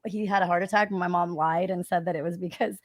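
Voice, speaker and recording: A woman with a raspy voice, chatting animatedly as a guest on a live stream and laughing often. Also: lowish voice, fast talker, no echo, studio quality. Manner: animated, laughs often, quotes others mockingly. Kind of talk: casual chat on a stream.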